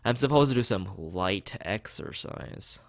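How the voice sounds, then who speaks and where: A man with a fairly low voice reads a visual novel aloud for a stream. His pitch moves around in a very animated way as he shifts between narration and dialogue. He sometimes stumbles over a word and carries on casually. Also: a little slow, dry recording. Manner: stumbles over words while reading. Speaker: let's play streamer. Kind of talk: reading a visual novel aloud with character lines and casual asides.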